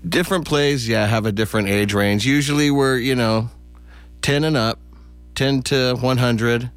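A man's voice: medium pitch, moderate pace, dry recording, soft background music. A middle-aged or older man giving casual, anecdotal answers as a theater director being interviewed.

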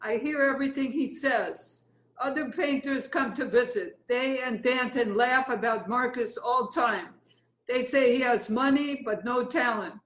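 A woman's voice: a little high, a little slow, fairly bright tone, reverberant, low-quality recording. A woman playing a blunt, worldly Russian artist's model in a play reading, with a Russian accent and clipped broken English. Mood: disdainful. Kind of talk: readers theatre play reading.

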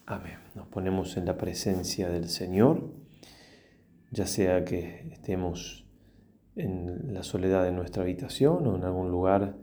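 A man, a priest leading a guided meditation and prayer in a slow, reverent manner with long pauses. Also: deep voice, mellow.

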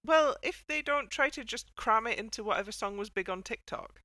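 A woman's voice: a little high, animated, fairly quick, sharp tone, no echo. A woman hosting a casual panel chat in a wry, playful way. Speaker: podcast host.

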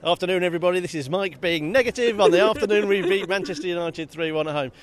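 A man's voice: sits high, fast talker. A man co-hosting a casual football podcast outdoors, wry and self-deprecating. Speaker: podcast co-host. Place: outdoors by a stadium.

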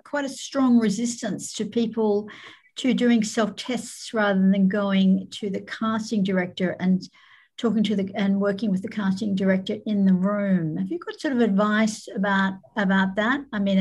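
Mid-pitched woman asking a question. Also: a little mellow. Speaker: questioner in a discussion session. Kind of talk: unscripted conversational question.

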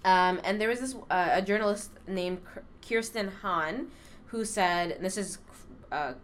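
A woman in casual conversation, talking relaxed and hesitant with many ums. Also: mid-range voice, unhurried, slight background noise. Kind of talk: casual chat.

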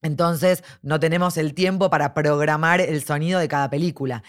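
A woman's voice: low voice, steady tone, fairly quick. A woman with an Argentine Spanish accent, speaking enthusiastically as an interviewee about an immersive dome cinema. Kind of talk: casual interview.

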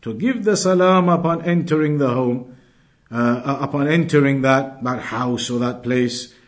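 A man teaching an Islamic lesson, explaining in a deliberate way and repeating his points. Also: medium pitch. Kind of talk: religious lesson, reading Arabic then explaining.

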